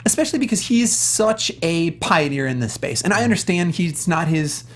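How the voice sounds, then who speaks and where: A man co-hosting a casual panel discussion, speaking in an animated, emphatic and self-deprecating way. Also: on the high side, normal speed. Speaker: talk show host.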